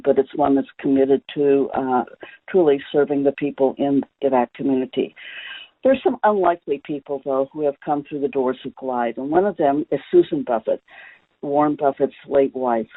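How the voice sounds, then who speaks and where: Male webinar presenter, higher-pitched, steady and explanatory, filler-heavy, dry phone-quality audio. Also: dry recording.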